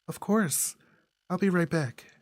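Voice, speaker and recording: A woman voicing a gentle, considerate young woman in a visual novel. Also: lowish voice, slow pace, no echo, studio quality, pitched above the performer's own voice. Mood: helpful.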